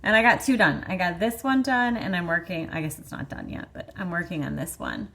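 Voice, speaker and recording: A woman's voice: fairly low pitch, fairly quick, creaky, fairly bright tone. A woman in her thirties with a Michigan accent, hosting a vlog in chatty, rambling casual talk full of fillers. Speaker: vlog host. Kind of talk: casual chat.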